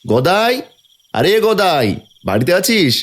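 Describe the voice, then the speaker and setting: A man's voice: high voice, very animated, slow, full character voice. A man playing an earnest, stern elder brother in a village fairy-tale cartoon. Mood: scolding. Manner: earnest, admonishing.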